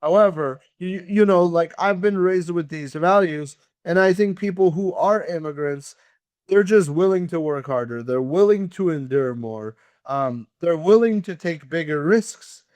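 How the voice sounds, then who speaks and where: Podcast guest: man, native Russian speaker, high voice, smooth and clear, dry close sound, earnest motivational delivery. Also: unhurried, darkish tone, no echo. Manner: earnest, motivational, repeats words when starting sentences. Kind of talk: conversational interview.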